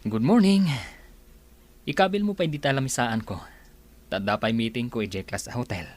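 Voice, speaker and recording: A man's voice: medium pitch, moderate pace, close to an everyday voice. A man with a Filipino accent playing a busy company boss with an eye for young women in an Ilocano radio comedy drama. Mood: businesslike.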